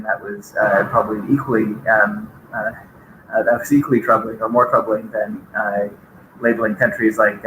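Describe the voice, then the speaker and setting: Middle-aged man, conference speaker, halting delivery full of fillers; roomy echo on the mic. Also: fairly low pitch, pushed and bright, a little hiss or hum. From Bahamas. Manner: hesitant, many filler "uh"s. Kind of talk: conference talk.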